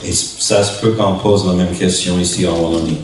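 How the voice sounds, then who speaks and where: A man from New Brunswick, a native English speaker with an English-speaking Canadian accent, preaches as a visiting pastor in a halting way, in short phrases, searching for words. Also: sits low, steady tone, darkish tone. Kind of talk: sermon. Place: church hall during a worship service. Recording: echoey hall, distant mic, faint noise.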